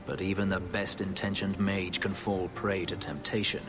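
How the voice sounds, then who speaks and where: Guy voicing a brooding bitter elf ex-slave, really deep, grave n formal, fantasy game, music behind. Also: little pitch movement, moderate pace, natural voice. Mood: stern, wary. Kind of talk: video game voice acting.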